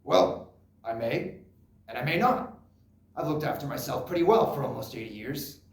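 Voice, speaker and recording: Young man playing a creaky, stubborn old father. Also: medium pitch, expressive, normal speed, close to an everyday voice. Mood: stubborn, dismissive. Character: proud, stubborn elderly father, nearly eighty, lonely and dismissive. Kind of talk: solo dramatic interpretation on stage. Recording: roomy, echoing live recording.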